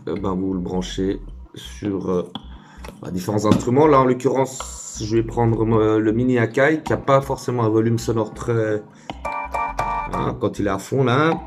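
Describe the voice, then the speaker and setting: A man giving a casual hands-on tutorial, informal and hesitant, thinking aloud as he works. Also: medium pitch. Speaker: DIY tutorial maker.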